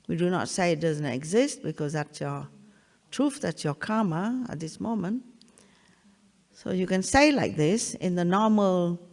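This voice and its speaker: Older Vietnamese-accented woman, spiritual teacher, halting and repetitive, long reverb of a large hall. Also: fairly low pitch, a little mellow. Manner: halting, repeats phrases, checks in with "yeah?". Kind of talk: satsang talk.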